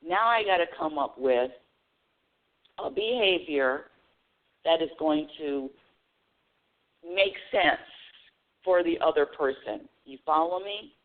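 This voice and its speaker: A woman's voice: low-pitched, lively intonation, slow, pauses often. A woman hosting a spiritual call-in radio show, talking earnestly and often restarting her phrases. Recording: tinny phone-line audio.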